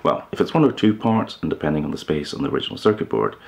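Very deep-voiced middle-aged man, gravelly. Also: lively intonation, very fast, darkish tone. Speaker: presenter of a hi-fi DIY video channel. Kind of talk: instructional talk to camera. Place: living room with hi-fi speakers, indoors.

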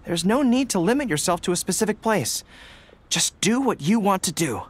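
A man playing a caring, steadfast young dog-eared general in a video game, sincere and gently reassuring. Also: high voice, lively intonation, moderate pace, slight background noise. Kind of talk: scripted video game voice acting.

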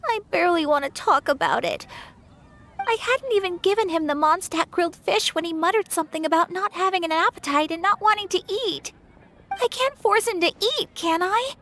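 A woman's voice: very high voice, a bit bright, a little hiss or hum, heavily acted. An actress playing a lovelorn, doubtful young townswoman in an anime-style video game, hesitant and sighing.

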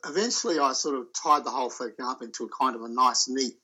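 A man talking about his sailing voyage as an interview guest, telling it in a halting, loose way. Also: medium pitch, sharp tone. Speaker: solo sailor guest. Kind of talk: casual interview chat.

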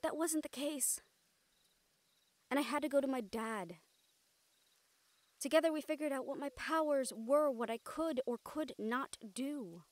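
Woman acting high-voiced, exasperated, caring siren. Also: measured pace, pauses often, darkish tone. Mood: concerned, earnest. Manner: sighing, earnest, drops in Spanish endearments. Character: female siren-banshee, exasperated but caring partner and team mate. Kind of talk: audio roleplay acting.

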